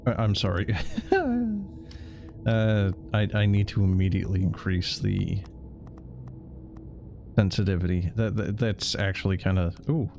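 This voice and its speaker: Low-voiced sardonic man streaming. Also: lively intonation, unhurried, vocal fry, long pauses, darkish tone, a little hiss or hum. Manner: rambling, sardonic, sweary. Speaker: livestreamer. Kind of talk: casual game stream commentary.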